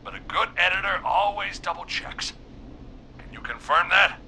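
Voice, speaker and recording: A man voicing an excitable, nervous newspaper editor in a video game, with a fussy, agitated, blustering manner. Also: sits high, normal speed, a little hiss or hum, full character voice, rough recording. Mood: tense, fussy.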